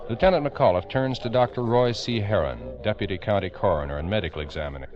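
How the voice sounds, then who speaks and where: An actor as a grave, formal narrator of a scripted old-time radio crime drama. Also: male voice, fairly low pitch, fast talker, a crowd in the background, lightly acted. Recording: dull vintage radio recording.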